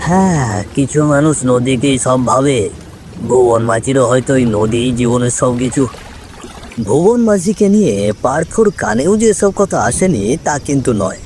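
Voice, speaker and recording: A man tells a ghost story with suspense and drama. His voice moves widely in pitch at a medium register, and clear sounds of rain and river water run behind him. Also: expressive, normal speed, darkish tone, slight background noise. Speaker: storyteller, narrator.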